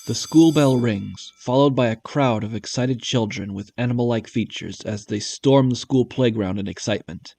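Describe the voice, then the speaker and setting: A man's voice: medium pitch, moderate pace, gravelly, few pauses, darkish tone. A man narrating a scripted audio drama in the third person, as a storyteller.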